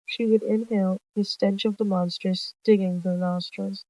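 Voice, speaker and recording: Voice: mid-range voice, level tone, moderate pace, mellow, dry recording, low-quality recording. A storyteller reading out a scripted animal roleplay tale, narrating and voicing all the characters.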